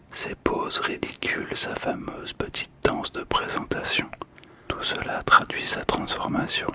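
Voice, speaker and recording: Male ASMR host. Fully whispered, soft and calming delivery, intimate close microphone. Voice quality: breathy, unvoiced whisper. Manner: gentle, slow, soothing delivery with pauses. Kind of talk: whispered ASMR card description. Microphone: close ASMR microphone, monitored on headphones.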